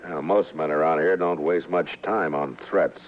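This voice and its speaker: Stern American frontier marshal, extremely low voice, laconic, authoritative, old radio western, narrow tinny recording. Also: male voice, steady tone, moderate pace, a little hiss or hum. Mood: firm, dismissive. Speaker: radio drama lead and narrator.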